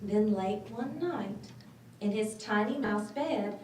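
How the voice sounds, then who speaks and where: Expressive woman reading aloud in a roomy space. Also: medium pitch, slow pace. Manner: expressive, dramatic phrasing. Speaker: storybook reader. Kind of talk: children's story read aloud. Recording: roomy, distant mic, faint noise.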